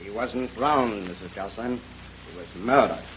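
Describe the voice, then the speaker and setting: A man's voice: fairly low pitch, moderate pace, lightly acted. An actor playing a blunt, authoritative male Coast Guard captain in an old radio mystery. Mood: grim, accusing. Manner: authoritative, declarative. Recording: noisy old radio drama recording.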